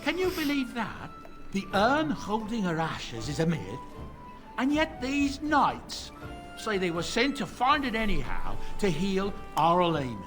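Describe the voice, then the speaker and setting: An actor playing a harried but loyal village tavern keeper in a video game, plain-spoken and gossipy. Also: male voice, high voice, wildly swooping pitch, a little slow, over music, full character voice. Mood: gossipy, troubled.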